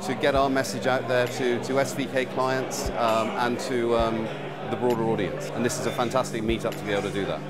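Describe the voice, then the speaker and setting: A man's voice: mid-range voice, little pitch movement, brisk. A businessman talking promotionally about his company's partnership, with some restarts. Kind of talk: event interview. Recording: music bed over interview.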